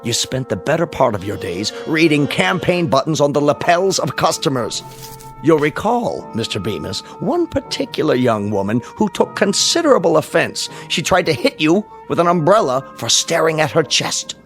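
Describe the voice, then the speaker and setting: An actor playing a stern, pompous boss in a radio drama, speaking in a formal, condescending, clipped manner. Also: male voice, on the high side, sing-song, moderate pace, fairly bright tone, soft background music. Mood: scornful. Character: stern, pompous male boss scolding an employee. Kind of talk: old-time radio drama acting.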